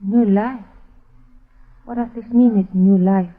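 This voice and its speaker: An actress playing a hesitant, guarded young island widow in her early twenties, with simple halting phrasing, in old radio drama style. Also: mid-range voice, steady tone. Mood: bewildered. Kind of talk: 1940s-50s radio drama acting. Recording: muffled, phone-like old radio recording.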